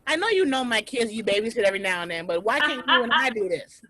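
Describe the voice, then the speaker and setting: Brief: high, bright-voiced woman host, lively and loud, home video call. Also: quick pace, no room sound. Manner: animated, loud storytelling. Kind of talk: comedic casual chat.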